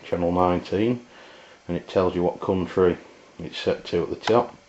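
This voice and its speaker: A man with an English accent giving an informal, unscripted hands-on product demonstration, halting and often pausing mid-sentence. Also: low-pitched, steady tone, darkish tone, a little hiss or hum. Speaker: product reviewer. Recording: roomy, dull lo-fi camera sound.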